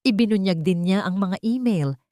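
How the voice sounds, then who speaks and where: A woman's voice: medium pitch, lively intonation, moderate pace, warm tone, dry recording, studio quality. A woman reading a scripted dramatic story as narrator, in a solemn, theatrical manner.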